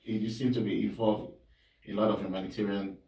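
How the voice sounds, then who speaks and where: A man's voice: on the low side, little pitch movement, brisk, mellow. A man hosting an interview, encouraging his guest with frequent short acknowledgements. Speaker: interview host. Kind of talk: casual interview. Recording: roomy sound, mic at a distance.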